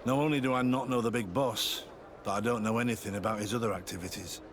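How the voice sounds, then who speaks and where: A male actor plays a guilt-ridden sergeant turned secret informant in a video game. His low voice is earnest and guarded, as if confiding. Outdoor noise runs clearly behind him. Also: fast, lightly acted. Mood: grave. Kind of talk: video game cutscene acting.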